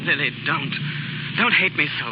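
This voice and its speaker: A man playing a desperate gentleman lover, urgent and imploring, in melodramatic 1930s radio acting. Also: a little high, normal speed, lightly acted. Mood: desperate, pleading. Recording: noisy, muffled 1930s radio broadcast.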